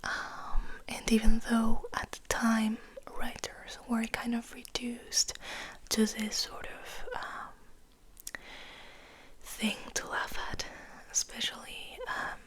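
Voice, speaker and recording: Asmr lady whispering real slow and soft, gentle reading voice, pretty flat intonation. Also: fairly high pitch. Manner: hushed, unhurried, gentle delivery. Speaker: ASMR host. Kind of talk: whispered ASMR poetry reading and rambling in English and French.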